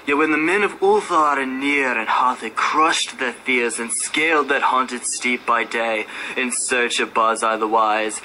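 A man's voice: mid-range voice, bright, forceful tone, rough recording. A man giving a solemn, theatrical dramatic reading of a horror story as narrator. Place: radio station room. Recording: faint background noise at radio station.

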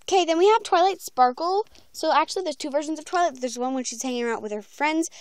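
A woman's voice: sits high, quick pace, no room sound. A young woman talking casually and informally in a rambling, slangy vlog, like a creator announcing something to viewers. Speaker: video creator announcing voice auditions. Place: small room at home, bedroom. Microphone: handheld phone, close by.

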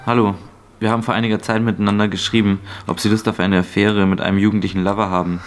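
A man's voice: fairly low pitch, little pitch movement, quick pace, faint music behind, natural voice. A young man playing a would-be lover reading online chat messages in a radio play. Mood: forward, tentative.

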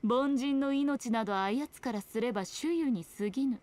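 Sly, high-voiced fox shrine maiden played by an actress. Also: female voice, normal speed, a little mellow, close to an everyday voice. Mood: aloof. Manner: archaic haughty Japanese speech, playful mock sympathy. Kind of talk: scripted video game dialogue.

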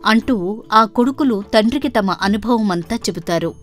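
Woman narrator reading a moral story, medium pitch, music playing behind. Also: expressive, normal speed. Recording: voice over background music. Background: background music.

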